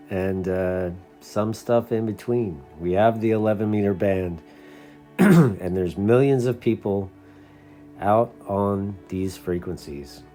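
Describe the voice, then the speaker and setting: An American man with a low, very mellow voice speaks in an unscripted, conversational style. He comes across as an earnest hobbyist explaining things to his audience. Soft music plays faintly behind him. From United States. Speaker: CB radio hobbyist and video host. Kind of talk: casual unscripted talk.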